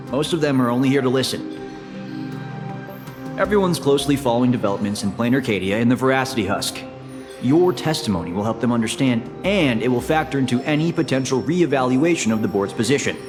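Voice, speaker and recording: A man's voice: mid-range voice, expressive, lightly acted. Actor doing a polite formal company guy hosting in a game, big hall echo, music behind. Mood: courteous, composed. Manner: formal, measured announcer-like courtesy. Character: polite, formal corporate assistant and summit host, male. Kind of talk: video game voice acting. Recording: long hall reverb, music underneath.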